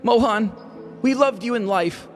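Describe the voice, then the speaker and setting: A man's voice: high voice, unhurried, faint music behind. A man playing a melodramatic, flippant villager in mourning in a comedic audio story. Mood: solemn.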